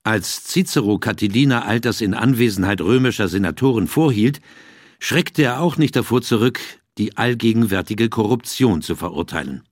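A man's voice: fairly low pitch, lively intonation, normal speed, no room sound, clean studio sound. A man reading a scripted, explanatory audiobook text. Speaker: audiobook reader.

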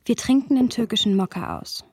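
A woman's voice: a little high, expressive, fairly quick, background noise, lightly acted. A woman playing a young woman who narrates a radio play and teases her friend, wry and observant. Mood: wry, reflective. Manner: wry, observant storytelling mixed with playful dialogue.

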